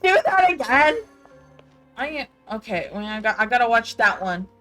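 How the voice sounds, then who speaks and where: A woman's voice: fairly high pitch, very animated, moderate pace, bright, forceful tone. A young woman giving casual, slangy, sweary gameplay commentary. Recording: amateur gameplay audio. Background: game music.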